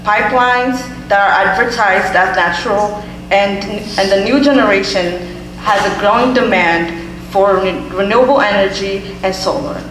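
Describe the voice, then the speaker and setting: A woman's voice: medium pitch, moderate pace, a bit bright. Earnest young woman in an echoey hall. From Ethiopia. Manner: earnest, reading a statement with some stumbles. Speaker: student speaker at public hearing. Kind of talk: prepared public comment. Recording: echoey hall with background hum.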